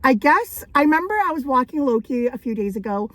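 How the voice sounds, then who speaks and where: A woman vlogging casually about her thrift finds, her voice raspy from days of coughing, rambling and laughing between thoughts. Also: high voice, expressive, a little fast, fairly bright tone. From Canada. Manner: chatty and rambling, frequent likes and laughs. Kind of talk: casual thrift haul vlog.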